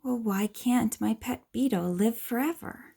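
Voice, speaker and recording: A woman reads a children's picture book aloud in a gentle, explanatory way, asking questions and adding asides for young listeners. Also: on the high side, measured pace, gravelly, husky.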